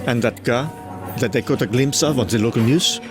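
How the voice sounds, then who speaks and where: A man's voice: medium pitch, fairly quick, natural voice. Man acting dismissive Frenchman over music. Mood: scornful. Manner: terse, dismissive. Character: guarded, dismissive Frenchman, vigilante's former associate. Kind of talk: comic dub voice acting. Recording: music behind the voice.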